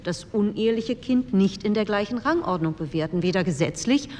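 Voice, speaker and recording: A woman's voice: medium pitch, lively intonation, fairly quick, a little mellow, lightly acted. An actress playing a strict, principled conservative woman politician of 1948, lecturing parliament in a formal, didactic way. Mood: stern, didactic. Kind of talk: formal parliamentary speech, re-enacted. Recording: long hall reverb, faint noise.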